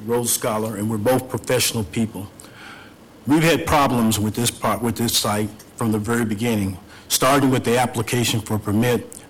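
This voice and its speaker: Hoarse older man making a formal appeal. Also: mid-range voice, slight background noise, low-quality recording. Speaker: appellant property owner. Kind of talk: formal statement at a public hearing.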